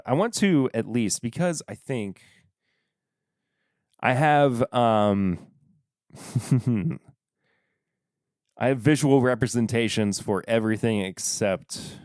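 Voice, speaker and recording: A slow, easygoing man with a fairly low voice talks through his picks on his own podcast, often stopping to restart his thoughts. His voice sounds smooth and clear, and the recording is dry and close, with no room sound. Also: a little mellow, dry recording. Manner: rambling, halting, self-interrupting. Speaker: podcast host reviewing whiskey. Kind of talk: casual solo podcast talk. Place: home desk.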